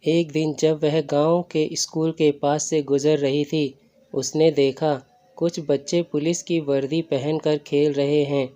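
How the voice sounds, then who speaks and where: A man's voice: on the high side, level tone, slow, mellow. A man reading a scripted story as a narrator. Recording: dull lo-fi recording.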